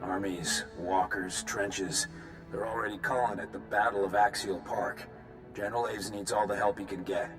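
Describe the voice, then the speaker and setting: An actor playing a skeptical military captain in a video game, giving a brusque, matter-of-fact briefing. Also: male voice, on the low side, steady tone, moderate pace, natural voice. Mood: brisk, skeptical. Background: game music.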